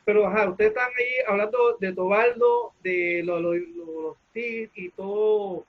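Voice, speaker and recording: High-pitched man interviewer, phone-like sound. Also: steady tone, measured pace. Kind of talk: conversational interviewing. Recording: thin phone-like call audio.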